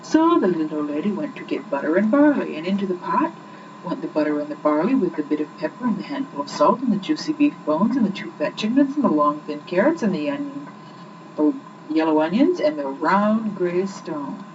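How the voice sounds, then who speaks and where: A young woman reading children's stories aloud in an expressive, rhythmic storytelling style, on an old muffled home recording. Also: deep voice, a little fast. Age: young adult. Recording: muffled, noisy 1980s home recording, distant mic.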